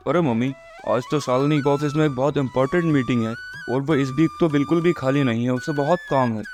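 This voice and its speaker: A man's voice: medium pitch, a little fast, a little mellow, close to an everyday voice. A man playing a practical, mild-mannered husband and son, explaining things matter-of-factly in a scripted moral story cartoon. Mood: agreeable. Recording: music behind the voice.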